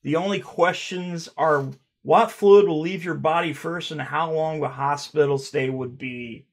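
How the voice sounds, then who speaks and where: A man in his thirties reading fan comments aloud on his channel, rambling and swearing, with a stutter he mentions himself, recorded by a webcam in a dark room at home. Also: a little high, steady tone, unhurried. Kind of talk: casual reaction commentary.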